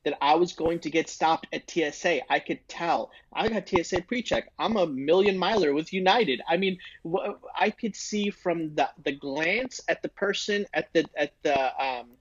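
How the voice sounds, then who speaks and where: A man's voice: a little high, moderate pace, hardly any pauses, husky, no room sound, highs cut off. A man talking earnestly in a casual faith discussion, with many restarts. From Indian heritage, not thick accent. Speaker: podcast co-host, ministry team member.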